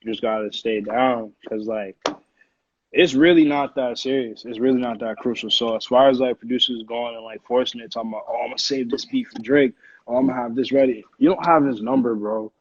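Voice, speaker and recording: A man's voice: medium pitch, fairly even intonation, brisk, slightly rough, dry recording. An American man from Connecticut, a music producer chatting casually as an interview guest in informal slang peppered with "like". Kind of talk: casual chat. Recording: dull, lo-fi audio.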